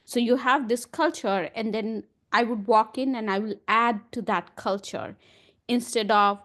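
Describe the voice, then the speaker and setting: A woman's voice: fairly high pitch, unhurried, a bit bright. A woman from India, telling her story with confidence on an online panel over a video call.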